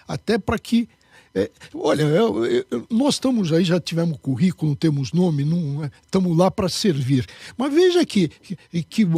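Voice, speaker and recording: A man's voice: fairly high pitch, darkish tone, slightly rough, no echo. A man, a candidate and jurist, speaking emphatically in a political interview. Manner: emphatic, lecturing.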